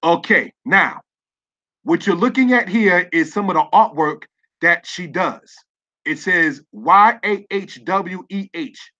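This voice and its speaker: High-voiced man with lo-fi audio. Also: pushed and bright. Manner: spells words out letter by letter, repeated "all right?" check-ins. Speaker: religious teacher. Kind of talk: slide-based online lesson. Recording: dull lo-fi audio.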